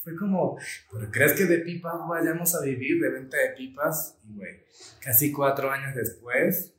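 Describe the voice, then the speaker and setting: A man's voice: mid-range voice, moderate pace. A man from Colombia raised in Venezuela, with a Venezuelan accent, chatting casually as a podcast guest and acting out the people in his stories. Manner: animated storyteller, acts out quoted dialogue. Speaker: smoke shop co-owner guest. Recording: echoey room.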